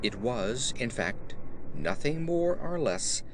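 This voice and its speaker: A man narrating a horror story in a theatrical, measured, emphatic style. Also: medium pitch, very animated, noisy background. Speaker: audiobook narrator. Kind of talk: dramatic scripted horror narration.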